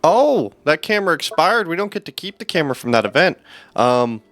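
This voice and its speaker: A man's voice: a little high, sing-song, a little fast, fairly bright tone. A man doing casual live gameplay commentary as a streamer, offhand and dry, at times reading game text aloud. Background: game music.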